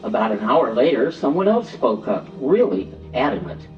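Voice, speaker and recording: A man giving an expressive, dramatic narration of a Bible story. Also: medium pitch, moderate pace. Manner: expressive, acts out quoted lines. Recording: distant, echoey church hall, dull sound, music.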